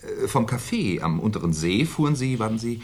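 An actor playing a shrewd, sardonic Paris police inspector in a 1970s German radio crime play, deliberate and mock-courteous. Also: male voice, on the low side, sing-song, husky, exaggerated voice. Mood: skeptical.

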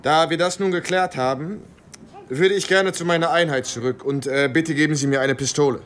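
A man's voice: fairly high pitch, brisk, fairly bright tone, lightly acted. Man playing a guarded, polite young-sounding army lieutenant. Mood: evasive, polite. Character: guarded, polite German first lieutenant in wartime. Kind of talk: German radio drama acting.

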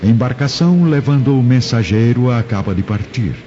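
A man's voice: mid-range voice, animated, fairly quick, dark tone, slight background noise. A man with a Brazilian accent narrating a scripted radio drama in a dramatic style. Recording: dull lo-fi with music behind.

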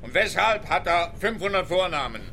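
A man's voice: high voice, expressive, moderate pace, gravelly, sharp tone, slight background noise, full character voice. An actor playing a sardonic, blunt, grumbling male police detective in a German-language radio play, sarcastic and skeptical. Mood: dry, grumbling.

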